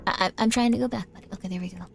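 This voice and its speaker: A woman's voice: medium pitch, very fast, vocal fry, faint music behind. A woman live-streaming a game, chatting in a bubbly way and often thanking her viewers. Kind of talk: casual live-stream chat while gaming.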